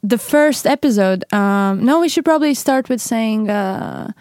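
A woman's voice: fairly high pitch, animated, measured pace, darkish tone, no room sound. Chatty young woman, dry sound. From Latvia. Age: young adult. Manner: chatty, laughs often, restarts sentences. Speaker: podcast co-host. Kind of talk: casual podcast chat.

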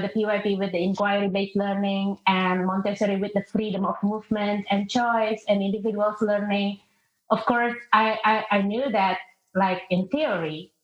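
Indonesian-accented woman, muffled roomy sound. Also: mid-range voice. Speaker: podcast guest, international teacher. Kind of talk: reflective interview talk. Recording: muffled, roomy call audio.

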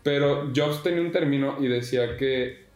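A man's voice: mid-range voice, normal speed, a little mellow, soft background music. Slangy young Mexican guy, echoey room. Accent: Mexican Spanish. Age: 20s. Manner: laid-back, slangy, laughs often. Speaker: podcast guest. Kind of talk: casual podcast interview.

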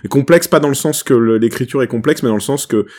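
A man's voice: mid-range voice, fast, darkish tone. A man who is a guest on a podcast, chatting casually, candid and joking, stammering as he starts his sentences.

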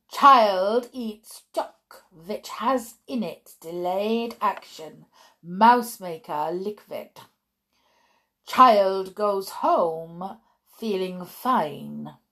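A woman's voice: on the low side, lively intonation, sharp tone, heavily acted. An imperious, gloating old witch leader. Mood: sly, explaining. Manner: imperious, theatrical, broken English, deliberate drawn-out phrasing. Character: gloating, domineering old Grand High Witch, foreign-sounding villain.

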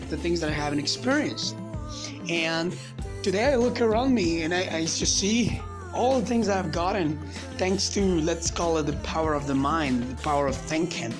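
A man whose first language is Spanish, giving a rambling, motivational solo podcast monologue in casual chat. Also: a little high.

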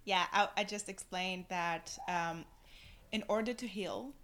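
Woman from Tunisia, English, slow pace, reflective, earnest. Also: medium pitch, fairly bright tone. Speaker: podcast guest. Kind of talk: casual podcast interview.

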